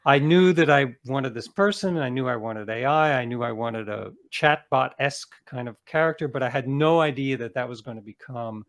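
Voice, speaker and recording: A man's voice: mid-range voice, moderate pace, hardly any pauses. A man speaking as a guest author in a conversational interview, explaining things reflectively with examples.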